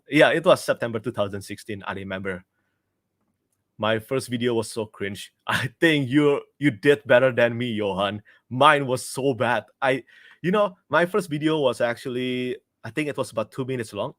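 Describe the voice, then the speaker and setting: A man's voice: medium pitch, dry recording, clean studio sound. A young man with an Indonesian accent, chatting casually on a livestream as a book reviewer, laughing often and restarting his sentences.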